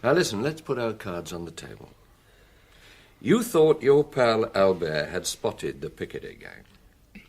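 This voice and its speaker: A British actor playing a shrewd, avuncular middle-aged police detective in a radio drama, measured, probing and quietly wry. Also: male voice, lowish voice, wildly swooping pitch, gravelly, husky, full character voice.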